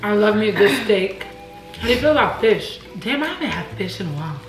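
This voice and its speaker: A woman's voice: medium pitch, very animated, fast talker, echoey room, over music. A young woman hosting an eating video from her home kitchen, chatting casually, loose and giggly, swearing freely. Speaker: mukbang host. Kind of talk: casual chat while eating.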